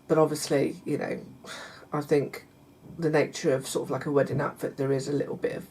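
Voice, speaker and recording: A middle-aged woman, chatting casually to her viewers in a croaky voice, hesitant with many fillers and restarts. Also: deep voice, level tone, a little fast, a little hiss or hum, poor audio. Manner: warm confiding tone toward viewers. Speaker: vlogger. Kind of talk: casual chat to camera.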